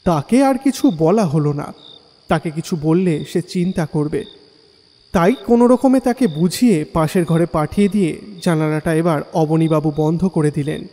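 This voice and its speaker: A man's voice: on the high side, moderate pace, dark tone. A man narrating a horror story dramatically in the first person. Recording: long reverb, faint music.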